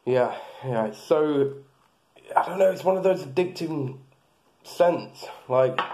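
A man's voice: medium pitch, warm tone. A man in his thirties casually reviewing beard products, rambling with lots of fillers and restarts, filmed close in a small room.

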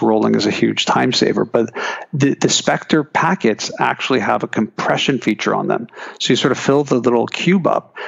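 A man's voice: mid-range voice, creaky, breathy edge, highs cut off. A man talking casually as an interview guest, chatty with frequent restarts and fillers. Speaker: guest, keynote speaker.

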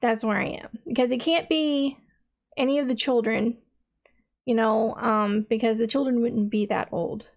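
A woman's voice: on the high side, steady tone, normal speed, darkish tone, highs cut off. A woman in her thirties hosting a reaction video, thinking aloud in a rambling, casual way with many fillers, in a small dim room.

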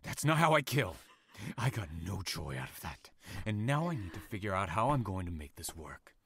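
A man playing a menacing, gloating serial killer in an audio drama, taunting and theatrical, moving between soft cruelty and explosive temper. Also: low voice, very animated, normal speed, a little hiss or hum, exaggerated voice. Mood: frantic, angry.

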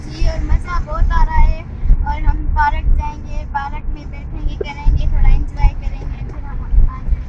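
Very high-voiced Pakistani woman chatting. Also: monotone, normal speed, far from the mic, lo-fi sound, heavy background noise. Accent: Pakistani Urdu. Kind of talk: casual chat.

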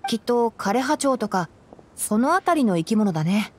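A woman playing a calm, knowledgeable young male forest ranger in an anime game, explaining things in a composed, gently teasing way. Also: fairly high pitch, normal speed, slightly rough. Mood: calm, instructive. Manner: explanatory, composed, lightly dry. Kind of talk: Japanese anime game voice acting.

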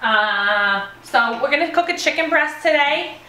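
A woman's voice: high-pitched, expressive, measured pace, sharp tone, distant mic. A woman in her thirties hosting a home cooking show, chatting through each step as she cooks in a small kitchen. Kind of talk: casual cooking demonstration. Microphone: camera mic at a distance. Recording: echoey kitchen, voice from off-mic, stove noise. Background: sizzling pan and stirring.

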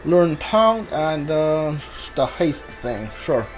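A man's voice: fairly high pitch, big pitch swings, drawn-out words, faint music behind. A man giving casual commentary as he plays through a video game, as a streamer. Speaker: gameplay streamer.

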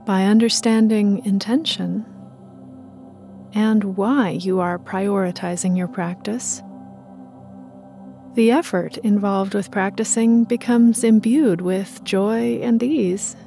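Slow, mellow female meditation guide. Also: medium pitch, gravelly, faint music behind. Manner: calm, soothing, measured.

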